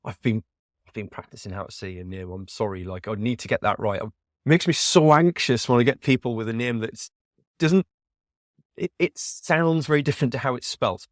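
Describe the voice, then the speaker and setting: A man's voice: medium pitch, lively intonation, moderate pace, no room sound, clean studio sound. A man hosting a podcast interview in a friendly, rambling way. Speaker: podcast host.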